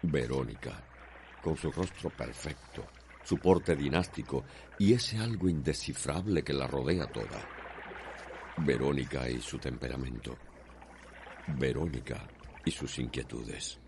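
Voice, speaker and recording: A man's voice: a bass voice, lively intonation, measured pace, vocal fry, noisy background. A man with a Cuban accent narrating a scripted radio drama in a declamatory, poetic manner.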